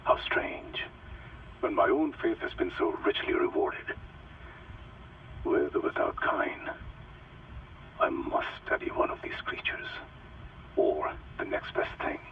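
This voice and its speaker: An actor playing a fanatical, quietly reverent doctor dictating a log in a measured, breathy, savouring way. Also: male voice, sits low, sing-song, far from the mic, phone-quality sound, slight background noise, full character voice. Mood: reverent, sinister. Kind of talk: dramatic game acting, recorded log.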